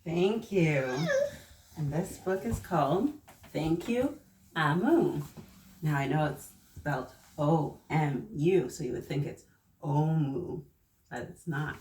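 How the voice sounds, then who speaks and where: A woman hosting children's story time, reading aloud and talking to young listeners in an expressive, encouraging way. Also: deep voice, slow. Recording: echoey room, distant mic, faint noise.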